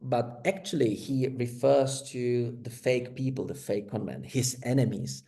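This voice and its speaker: A man whose first language is Czech, giving an academic talk in slow, hesitant speech full of fillers and restarts. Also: medium pitch, vocal fry, warm tone. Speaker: lecturer.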